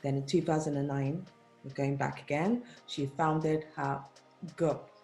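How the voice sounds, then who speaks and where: A woman's voice: sits low, fairly even intonation, a little slow, dark tone. A woman hosting a conversation show, giving scripted introductions of her guest and asking interview questions. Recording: roomy, echoing recording with music.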